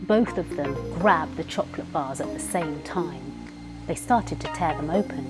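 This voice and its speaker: A woman storyteller reading a children's story in expressive scripted narration. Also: low voice, normal speed, loud music behind the voice. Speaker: professional storyteller.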